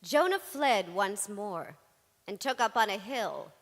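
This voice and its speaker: A woman reciting a dramatic spoken word piece with emphatic, deliberate phrasing. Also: mid-range voice, lively intonation. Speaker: reader in a dramatic reading. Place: large reverberant temple hall. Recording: long hall reverb.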